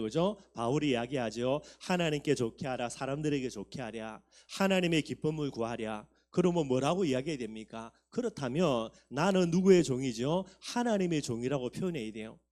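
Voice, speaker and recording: A man's voice: mid-range voice, a little mellow. A man in his fifties, a pastor preaching a sermon, talking earnestly to the congregation and building up for emphasis. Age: 50s.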